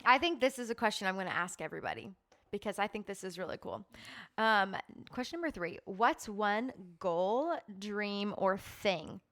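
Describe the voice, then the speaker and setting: A woman runs a podcast interview in a chatty, upbeat way. She laughs easily and reacts with enthusiasm. Her pitch is mid-range for a woman. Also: animated, moderate pace, fairly bright tone. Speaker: podcast host. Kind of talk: casual interview chat.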